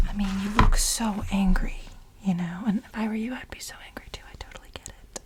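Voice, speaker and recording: A woman whispers softly through an ASMR role play as a fawning assistant with a crush. Her voice is extremely breathy and dark-soft, at a middling pitch. She coaxes haltingly, doubling back on her phrases. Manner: halting, coaxing delivery with repeated phrases. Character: obsessive, fawning female lab assistant with a crush.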